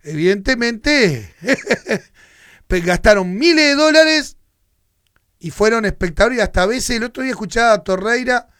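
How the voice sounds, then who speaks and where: A man's voice: extremely high pitch, lively intonation, a little slow, long pauses, a bit bright, clean tone, dry recording, studio quality. Fired-up Uruguayan man ranting on radio. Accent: Uruguayan Spanish. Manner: heated, indignant ranting. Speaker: radio sports show host. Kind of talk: opinionated sports commentary. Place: radio studio.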